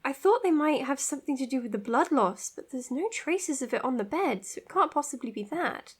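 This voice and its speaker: A woman's voice: sits high, animated, a little fast. A woman acting an earnest, anxious young doctor in an audio drama, hesitant but caring. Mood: uneasy.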